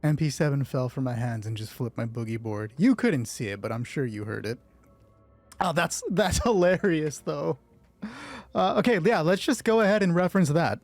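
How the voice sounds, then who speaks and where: Man, mid-pitched, mellow dark tone, roleplay narrator, storytelling with casual asides, faint music behind. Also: expressive, normal speed. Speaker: solo roleplay host and narrator.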